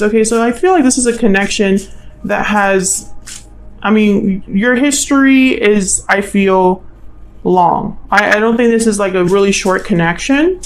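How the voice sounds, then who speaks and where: A woman giving an informal tarot reading as host, musing and thinking aloud in a tentative way. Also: mid-range voice. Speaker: tarot reader, channel host.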